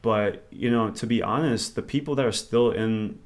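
A man's voice: fairly low pitch, normal speed. A man, talking as an interview guest in a rambling, reflective way. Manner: rambling and reflective, thinks aloud. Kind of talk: casual interview conversation.

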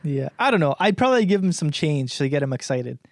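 Young canadian dude on a podcast, kinda higher voice, swears a lot, super dry close mic. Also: male voice, big pitch swings, quick pace, darkish tone, no echo. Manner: laid-back, swears a lot, laughs often. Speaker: podcast co-host. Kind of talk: casual chat.

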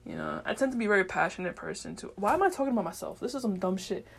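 A woman's voice: mid-range voice, lively intonation, very fast, creaky, a little hiss or hum. Unfiltered, slangy woman rambling casually. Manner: slangy, heavy swearing, unfiltered; meandering, thinking aloud. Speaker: podcast host.

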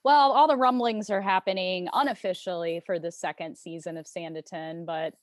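A woman's voice: lowish voice, steady tone, moderate pace, no echo. A woman hosting a podcast interview, putting questions to her guest and wrapping up.

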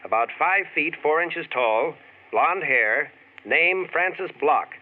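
An actor playing a police radio dispatcher making an official bulletin, in a 1940s radio drama on an old recording. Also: male voice, on the high side, slow. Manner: flat, official announcing. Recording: tinny old radio broadcast.

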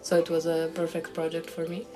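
A young woman with a rather low, very flat voice. She speaks hesitantly, dropping in many "uh"s. Faint music plays behind her. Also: brisk. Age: young adult. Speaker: master's student. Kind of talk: casual self-introduction.

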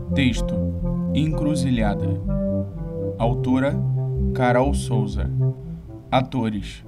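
Male Brazilian host for scripted audio drama announcements and credits, prominent music bed. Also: fairly high pitch.

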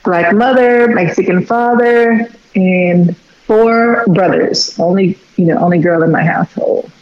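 A woman's voice: on the low side, roomy sound, lo-fi sound. Texan woman poet, reflective. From Texas. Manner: reflective, unhurried, with filler pauses. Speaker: poet and guest. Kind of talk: radio interview conversation.

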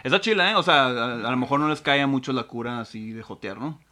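Bright-voiced slangy Mexican man chatting. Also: medium pitch, quick pace. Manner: relaxed slangy storytelling with fillers. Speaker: podcast co-host. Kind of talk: casual chat, recommending series.

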